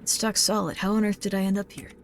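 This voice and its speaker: An actor playing a lost man trapped in a strange place, who mutters to himself in puzzlement with a gravelly voice. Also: sits high, level tone, quick pace, slight background noise. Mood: puzzled. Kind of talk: game dialogue.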